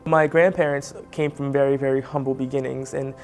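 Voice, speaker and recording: A young man from Haiti sharing his personal story as a guest, earnest and pausing with fillers. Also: medium pitch, level tone, faint music behind. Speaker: spotlight guest. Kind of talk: personal testimony.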